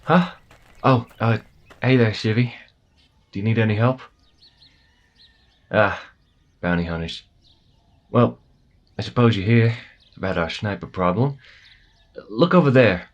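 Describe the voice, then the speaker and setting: A man's voice: on the low side, measured pace, pauses often, dull audio, natural voice. A man acting an easygoing, matter-of-fact soldier at a checkpoint in an audio roleplay, explaining things casually with a slight hesitancy. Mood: helpful.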